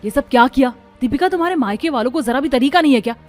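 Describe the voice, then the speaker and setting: A woman's voice: high-pitched, animated, quick pace, a little mellow, soft background music, voice pushed up. A woman voicing a hot-tempered elder daughter-in-law scolding her relatives in a cartoon. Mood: furious.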